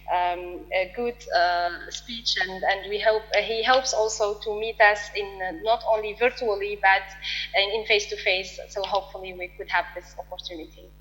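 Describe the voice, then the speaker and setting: Female panel moderator, Arabic accent, bright tone, hesitant delivery full of pauses and restarts. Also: mid-range voice, poor audio. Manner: halting, many fillers and restarts. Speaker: conference moderator. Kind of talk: hesitant conference chairing.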